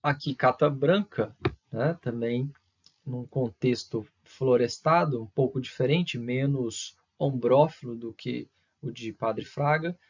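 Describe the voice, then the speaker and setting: A man's voice: medium pitch, darkish tone, muffled recording. A man from in Brazil, lecturing to students in a hesitant way, with many fillers, restarts and tag questions. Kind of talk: recorded university lecture.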